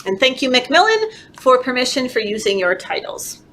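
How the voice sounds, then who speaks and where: A woman leading a children's story time, reading aloud in an animated, encouraging, sing-song way, as if talking to toddlers. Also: high voice, moderate pace. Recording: clearly noisy background.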